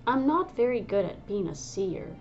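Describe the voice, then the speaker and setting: A woman's voice: mid-range voice, sing-song, moderate pace, natural voice. A woman reading as a stubborn young she-cat healer, sour and easily riled, whose lines come out agitated and pushy. The sound is a touch dull and lo-fi, with faint music under it. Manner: agitated, heated.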